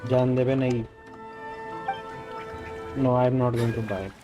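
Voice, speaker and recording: A man's voice: mid-range voice, fairly even intonation, quick pace, over music. Indian man streaming a game. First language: Hindi. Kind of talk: casual game commentary and reading dialogue aloud.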